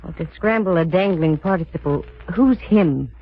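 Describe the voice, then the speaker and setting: An actress playing a wry, poised, quick-witted lady private eye in 1940s radio detective style. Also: fairly low pitch. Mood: wry banter. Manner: wisecracking, poised. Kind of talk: 1940s radio detective drama acting, with narration. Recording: tinny, noisy old radio recording.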